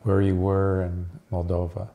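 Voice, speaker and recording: A man's voice: deep voice, monotone, a little slow, narrow, tinny audio. A man hosting a community television interview, asking simple questions and giving brief encouraging backchannels. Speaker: host.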